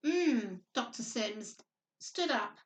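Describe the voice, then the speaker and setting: Woman, nursery storyteller, high-pitched and sing-song, speaking simply to little ones; roomy, echoing sound. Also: expressive, slow pace. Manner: sing-song, simple child-directed talk with frequent tag questions. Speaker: children's storytime host, nursery worker. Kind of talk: reading picture book aloud to young children. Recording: roomy echo, distant microphone.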